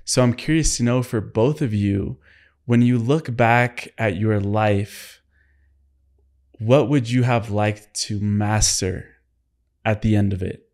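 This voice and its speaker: Calm, curious young man hosting. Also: lowish voice, takes it slowly. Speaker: podcast host. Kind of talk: casual interview talk.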